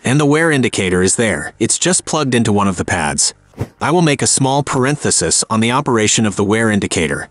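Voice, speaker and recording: Man calmly narrating a car repair. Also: medium pitch, no echo. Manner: calm, step-by-step explaining. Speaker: car repair video host. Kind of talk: scripted how-to voice-over.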